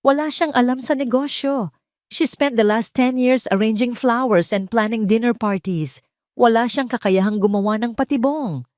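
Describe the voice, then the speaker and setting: A woman's voice: on the high side, moderate pace, darkish tone, dry recording, clean studio sound, voice pushed up, close to an everyday voice. A woman narrator voicing a boastful, scheming husband in an audio drama, smug and dismissive, mixing Tagalog and English. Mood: dismissive, confident.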